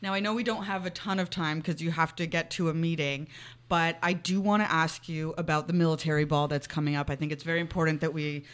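A woman's voice: low-pitched, fairly even intonation, fast talker. A woman from Canada co-hosting a conversational sports interview in a chatty manner.

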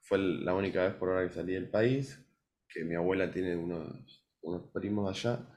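A man's voice: low voice, moderate pace, darkish tone, a little hiss or hum. A man in his early twenties with a Buenos Aires accent, chatting casually as an interview guest. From Buenos Aires, Argentina. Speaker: musician guest. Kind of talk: casual storytelling interview answers.

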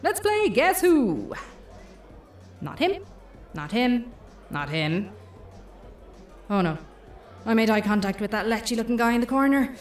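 A lively, mouthy female inner voice. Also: on the high side, measured pace, long pauses, reverberant, distant mic, faint music behind. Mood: jaded, restless, then indignant. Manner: sardonic, exasperated, sweary. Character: mischievous, mouthy inner voice of a dating-weary young woman. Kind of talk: audio drama comedy acting.

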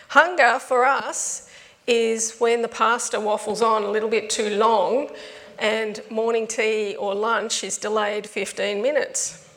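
A woman's voice: on the high side, creaky, a bit bright, in a large hall. A woman pastor giving a conversational sermon, her voice strained from asthma. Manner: conversational storytelling preaching.

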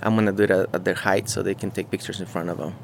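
A man from Mexico, talking casually outdoors in an on-site interview, halting and searching for words with many fillers. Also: low voice, little pitch movement, gravelly, a little hiss or hum. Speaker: muralist interviewee. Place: outdoors beside a mural.

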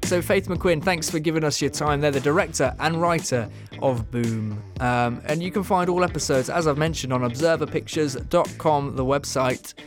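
A man's voice: on the high side, moderate pace, few pauses, soft background music. A man hosting a podcast, casually giving thanks, plugs and sign-offs. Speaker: podcast host.

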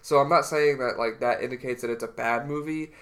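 A man's voice: medium pitch, fairly even intonation, brisk, a bit bright. A young man co-hosting a casual podcast with a stuffy nose from a cold, talking in a loose, rambling way. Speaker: podcast co-host. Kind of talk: casual chat.

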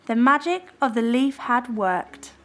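A woman reading a children's storybook expressively and deliberately. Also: fairly high pitch, a bit bright, faint music behind.

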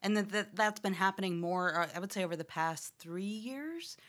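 A woman's voice: medium pitch, animated, normal speed, fairly bright tone. A woman in her thirties, a journalist with a trained, accent-neutral radio voice, chatting easily in an interview and laughing often. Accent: none, neutral American. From Louisiana, raised in upstate New York. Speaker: audio journalist guest. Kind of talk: casual interview conversation.

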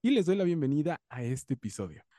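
A man from the coast of Guerrero hosts a podcast interview, friendly and effusive. His voice is very dark and mellow. It sounds dry and close, with no room echo. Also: fairly high pitch, big pitch swings, fast talker. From Mexico, Guerrero coast. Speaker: podcast host.

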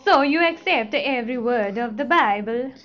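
Woman playing a high-voiced, pompous Pope. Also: lively intonation, normal speed, a bit bright. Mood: solemn. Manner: pompous, formal. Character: stern, pompous male Pope presiding over a trial. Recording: dull, lo-fi audio.

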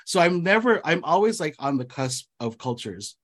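Animated man telling stories, many restarts. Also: on the high side, moderate pace. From United States. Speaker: podcast co-host. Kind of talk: casual chat.

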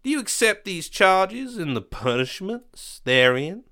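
A man's voice: high voice, sing-song, a little slow, bright, forceful tone, voice pushed up, exaggerated voice. A man voicing a pompous, cruel and cowardly rich land baron in a western story, with haughty, showy speech. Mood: mocking.

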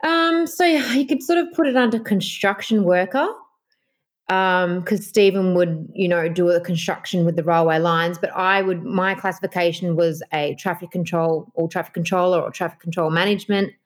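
A woman's voice: fairly low pitch, steady tone, moderate pace. Nasal Australian woman, chatty guest. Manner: chatty, many fillers and restarts. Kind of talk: casual interview chat.

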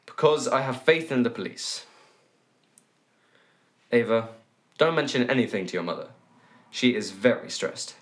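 Actor as evasive dad: mid-range voice, guarded, pressing, outwardly reassuring, radio drama. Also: male voice, mid-range voice, expressive, normal speed, long pauses, lightly acted.

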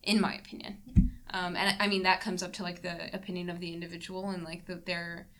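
A woman's voice: on the low side, flat delivery, fast talker, a bit bright. A young woman in a casual podcast discussion, talking hesitantly with plenty of fillers. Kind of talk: casual panel chat.

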